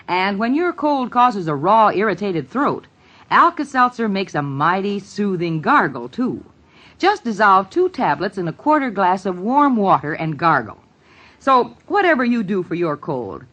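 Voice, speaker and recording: A woman's voice: medium pitch, fairly bright tone. A woman reading a scripted 1950s radio commercial as the product's spokeswoman. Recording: dull, band-limited 1950s radio recording.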